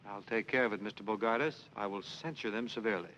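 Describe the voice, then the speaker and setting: An actor playing a relaxed priest with a dry, joking manner, in a 1940s film. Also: male voice, fairly low pitch, fast talker, natural voice. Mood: easygoing. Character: easygoing young priest, wry and relaxed. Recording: dull 1940s film soundtrack, faint hiss.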